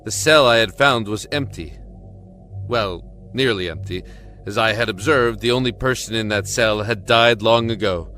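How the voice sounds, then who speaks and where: A man's voice: lowish voice, normal speed, pushed and bright, soft background music. An actor playing a desperate imprisoned man in an audio drama, telling his story in an earnest, emotive, brooding way. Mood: brooding, haunted. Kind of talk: dramatic first-person audio drama narration.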